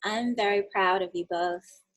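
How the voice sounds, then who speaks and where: A woman reading aloud as a kind, patient mother explaining things gently to her two boys. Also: mid-range voice, a little slow, lightly acted, rough recording. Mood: tender. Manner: gentle and reassuring. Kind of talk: storybook read-aloud.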